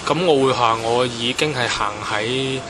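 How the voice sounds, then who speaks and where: A man's voice: mid-range voice, measured pace. Hong Kong man interviewed amid background noise. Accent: Hong Kong Cantonese. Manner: mixes in English words, repeats himself. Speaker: interviewee, artist. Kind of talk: casual spoken interview.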